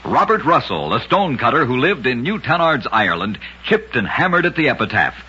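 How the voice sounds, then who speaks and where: Bright, husky old-time radio narrator man. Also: mid-range voice, big pitch swings, lo-fi sound. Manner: brisk, dramatic storytelling cadence. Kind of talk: scripted radio narration of curious facts.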